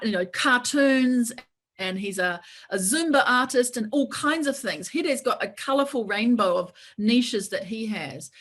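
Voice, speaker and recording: Chatty encouraging New woman. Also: a little high. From New Zealand. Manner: encouraging, talkative, leans on "right?". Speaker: lawyer and coach. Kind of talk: casual webinar talk.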